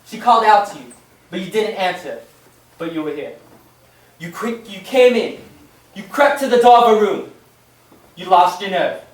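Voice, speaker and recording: An actor playing a stern, accusing investigator in an old-time radio play, speaking deliberately and theatrically. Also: medium pitch, expressive, reverberant, distant mic, close to an everyday voice, rough recording.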